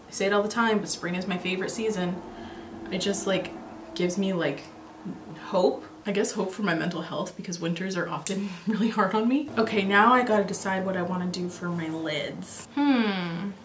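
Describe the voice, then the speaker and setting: A young woman with a slightly raspy voice, chatting casually and rambling with many fillers while recording a makeup tutorial at home. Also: medium pitch, normal speed, echoey room, noisy background. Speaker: beauty vlogger. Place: small home filming room, indoors.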